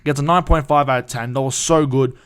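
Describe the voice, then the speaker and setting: A young man, hosting a casual solo fan podcast in an excited, rambling way with frequent restarts. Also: medium pitch, fairly quick, clear, resonant tone.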